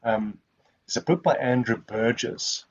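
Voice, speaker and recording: A man's voice: mid-range voice, unhurried. A man whose first language is Afrikaans, answering webinar questions in a rambling way with many restarts. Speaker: guest speaker. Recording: muffled lo-fi call audio.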